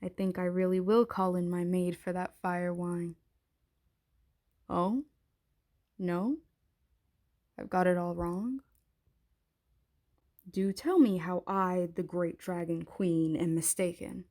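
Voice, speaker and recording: A woman playing a haughty, menacing dragon queen in an intimate ASMR roleplay, speaking in an imperious, taunting, deliberate way. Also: lowish voice, fairly even intonation, dark tone. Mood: mocking.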